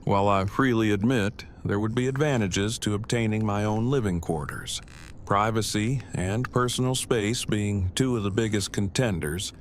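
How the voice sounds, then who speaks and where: A man playing an awkward, earnest, bookish survivor in an audio drama, speaking in formal, precise, wordy sentences with a deadpan delivery. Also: fairly low pitch, normal speed, a little hiss or hum, close to an everyday voice. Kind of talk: fan audio drama acting.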